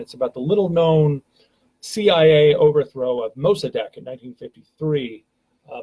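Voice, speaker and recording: Chatty man with a husky voice. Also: mid-range voice, a little mellow, poor audio. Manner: chatty, rambling, many fillers and restarts. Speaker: host of a live stream. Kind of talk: casual live-stream talk.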